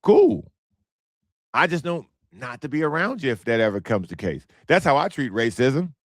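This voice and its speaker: A man hosting his own podcast in casual, blunt commentary, repeating himself, with a hoarse, strained voice as he loses it. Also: medium pitch, big pitch swings, normal speed, no room sound, studio quality. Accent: American. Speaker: podcast host.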